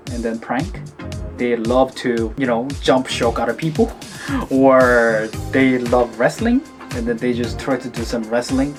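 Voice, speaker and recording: Korean-accented man explaining over music. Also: mid-range voice. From South Korea. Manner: explanatory, with frequent restarts and filler words. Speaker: language teacher and video host. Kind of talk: casual commentary over film clips. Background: background music.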